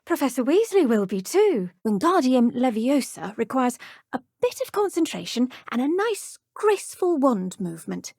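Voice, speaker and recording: A woman with an English accent voicing a kindly, cheerful young witch who teaches herbology in a video game, with soft, breathy speech and a gentle, polite, nurturing manner. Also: sits high, big pitch swings, normal speed, dry recording, clean studio sound, heavily acted. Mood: cheerful, instructive. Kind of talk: video game character dialogue.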